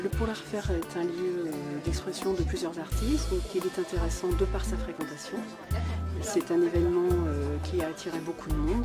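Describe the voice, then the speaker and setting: Low-pitched woman over loud music. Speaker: exhibiting painter. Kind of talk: spontaneous interview to camera.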